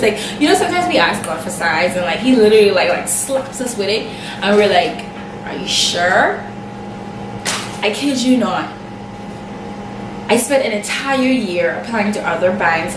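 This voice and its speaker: A young Bahamian woman talks straight to camera, chatty and animated, stopping for asides as she tells a story. She sits back from the mic in a roomy, echoing space. A steady hum of noise runs under her voice. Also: medium pitch, far from the mic. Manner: chatty and animated, full of "like" and self-quoting. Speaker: makeup artist vlogger. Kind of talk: casual personal storytelling to camera. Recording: echoey room, off-mic, steady background noise.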